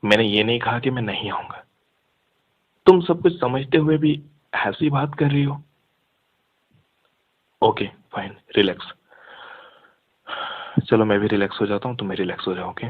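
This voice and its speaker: A man's voice: mid-range voice, lively intonation, moderate pace, long pauses, husky. A young man in a casual phone conversation, speaking insistently and argumentatively, mixing in English words. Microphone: phone line. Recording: muffled phone call audio.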